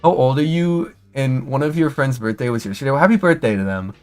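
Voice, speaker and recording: A man in his twenties streaming casual commentary, rambling and excitable with many restarts and fillers. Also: medium pitch, brisk. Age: 20s.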